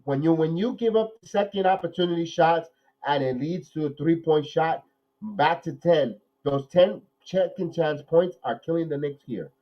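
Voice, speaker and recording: A man hosting a live watch-along podcast, nasal from a cold, calling plays excitedly and then talking analysis with many repeats. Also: a little high, normal speed, lo-fi sound. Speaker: podcast host.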